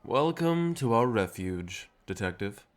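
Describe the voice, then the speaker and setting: An actor playing a cheerful, self-assured, century-old vampire rebel leader in an audio drama, courteous and persuasive with a theatrical manner. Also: male voice, medium pitch, sing-song, a little slow. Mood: confident, welcoming. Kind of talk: scripted audio drama acting.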